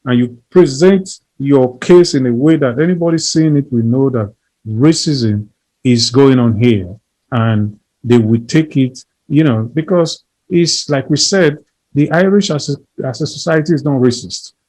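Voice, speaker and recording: A man's voice: medium pitch, a little slow, darkish tone, rough recording. A man as an interview guest, speaking earnestly and emphatically, often repeating phrases and building lists. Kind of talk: earnest conversational advocacy.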